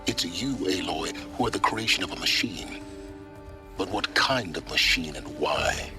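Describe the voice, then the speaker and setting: An actor playing a cynical, secretive mentor in a video game, with a dry, sardonic and condescending manner. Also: male voice, deep voice, animated, measured pace, over music. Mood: sardonic, probing. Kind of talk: dramatic video game acting.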